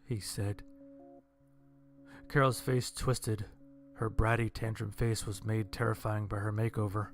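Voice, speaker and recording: A man narrates a scripted story in a lowish, very even voice with little rise or fall. Soft music plays faintly behind him. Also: a little slow.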